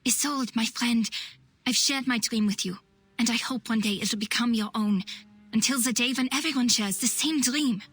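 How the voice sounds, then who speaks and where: A woman's voice: on the high side, moderate pace, fairly bright tone, husky. A woman voicing an idealistic young female doctor and revolutionary in a game, speaking earnestly and eloquently. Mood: fervent. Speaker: game voice actress. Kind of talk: dramatic game voice acting, monologue.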